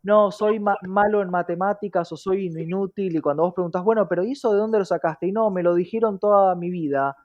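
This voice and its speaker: A woman's voice: mid-range voice, brisk, few pauses, darkish tone, muffled recording. A woman with an Argentine accent explaining things conversationally as an interview guest, reflective, often checking in with rhetorical questions.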